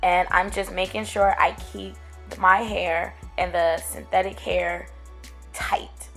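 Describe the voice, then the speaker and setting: A young woman, raspy from getting over a cold, chatting casually to her viewers as she explains braiding her own hair step by step, recorded close to a camera at home. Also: on the low side, little pitch movement, slow pace, fairly bright tone, soft background music. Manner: chatty, addresses viewers as guys and girl. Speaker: hair tutorial vlogger. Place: small room at home, indoors.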